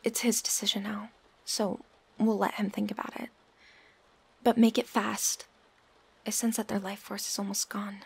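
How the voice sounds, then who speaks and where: A woman acts out a young female healer in an ASMR-style drama. Her voice is breathy and husky at a medium pitch. She speaks with earnest, persuasive emphasis. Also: little pitch movement, moderate pace. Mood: earnest, insistent. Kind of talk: ASMR audio roleplay acting.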